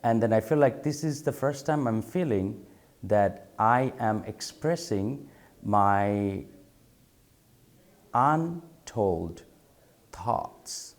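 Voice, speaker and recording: A man's voice: fairly low pitch, animated, drawn-out words, pauses often. A man from Bangladesh, a photographer talking thoughtfully in an interview, often stopping to repeat words as he searches for them. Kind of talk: reflective interview talk.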